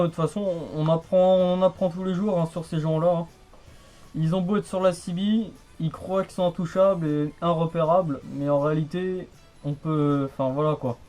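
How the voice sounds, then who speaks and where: A man's voice: fairly high pitch, darkish tone. A man chatting casually on the radio in a rambling, hesitant, repetitive way. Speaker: amateur radio hobbyist.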